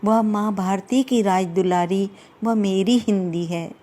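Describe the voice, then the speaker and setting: A woman reciting a poem with slow, impassioned delivery at a Hindi day event. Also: medium pitch, mellow. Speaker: poetry reciter.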